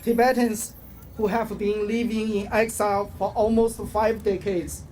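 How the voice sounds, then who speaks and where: Male activist speaker, slow and halting prepared address, outdoor rally with street noise. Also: high voice, level tone, darkish tone. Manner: measured, halting phrasing with pauses.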